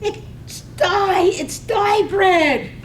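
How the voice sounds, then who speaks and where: Woman playing a very high-pitched village gossip. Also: extremely slow, full character voice. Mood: entranced. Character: gossipy village wife, crystal-gazing and scandal-loving. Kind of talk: stage reading of poetic radio drama. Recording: echoey room, distant mic, faint rumble.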